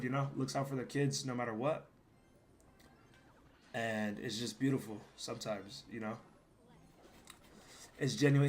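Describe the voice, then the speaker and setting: A young man streams his game with a hoarse, worn-out voice that still has a bright, forceful edge. He rambles casually and swears freely between plays. He sometimes breaks into loud yells. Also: medium pitch. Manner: profane, rambling, bursts into loud yelling. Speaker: gaming streamer. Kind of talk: casual live gameplay commentary.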